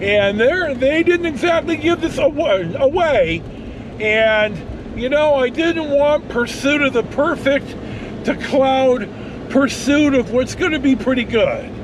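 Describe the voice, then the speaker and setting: A middle-aged American man vlogging in a casual, rambling storytelling style, halting and blunt, with some mild swearing. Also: very high-pitched. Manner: halting, blunt, mildly profane. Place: inside a pickup truck on the road. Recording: steady vehicle cabin noise.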